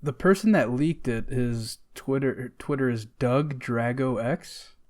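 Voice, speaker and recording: Casual man with a mid-pitched voice. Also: slow pace, darkish tone. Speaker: podcast co-host. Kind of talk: casual chat.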